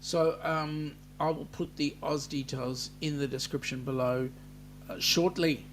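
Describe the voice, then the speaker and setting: Slow-talking Australian man hosting a chat. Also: fairly high pitch, steady tone, fairly bright tone, a little hiss or hum. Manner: unhurried, chatty, many ums, reads comments aloud. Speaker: live-stream host. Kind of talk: casual live-stream chat reading viewer comments.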